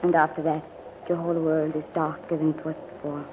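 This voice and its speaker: A woman's voice: sits low, little pitch movement, fairly quick, narrow, tinny audio, close to an everyday voice. An actress playing a brave, earnest, devoted young Frenchwoman in a 1940s radio drama. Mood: wistful grief. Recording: thin, hissy old radio recording.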